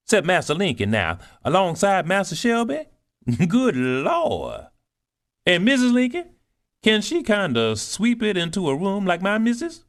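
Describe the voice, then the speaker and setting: A man playing a bossy older cook. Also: heavily acted. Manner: animated, scolding, swoops high. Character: proud older woman cook, bustling and boastful. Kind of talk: audiobook character reading.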